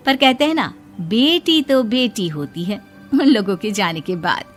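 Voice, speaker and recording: A woman's voice: mid-range voice, animated, measured pace, soft background music. A woman reading a scripted moral story as its narrator.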